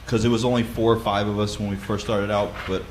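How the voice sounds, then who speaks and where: A man with a loud voice who leads a Bible study, explaining practical advice in a conversational way with frequent fillers and restarts. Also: on the low side, level tone, fast talker, noisy background. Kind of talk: instructional talk.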